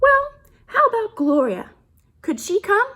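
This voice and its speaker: A woman's voice: very high voice, sing-song, unhurried, bright, forceful tone, pitched above the performer's own voice, heavily acted. A woman voicing a polite, chirpy female school principal in a sing-song storybook manner. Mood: surprised, hopeful.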